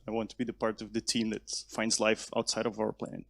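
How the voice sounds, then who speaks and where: A man's voice: fairly low pitch, completely flat delivery, fast, gravelly, dry recording. A young man from Portugal, introducing himself hesitantly at a ceremony with many fillers. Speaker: incoming student.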